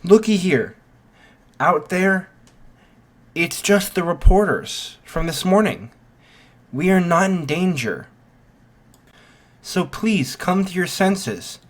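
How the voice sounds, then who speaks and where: A man's voice: high voice, animated, slow pace, pauses often, voice pushed up, full character voice. Young male student leader acted by a man, earnest and commanding, formal phrasing, raised projecting voice for an anime tale. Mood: urgent, commanding. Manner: formal, emphatic, loudly projecting.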